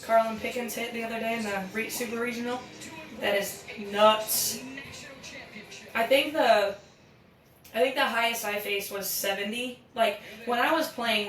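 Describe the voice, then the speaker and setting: A woman in her thirties with a raspy voice, co-hosting and giving casual live sports commentary in an enthusiastic, candid way. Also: on the high side, a bit bright. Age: 30s. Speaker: podcast co-host and amateur sports commentator. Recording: roomy echo, distant from mic.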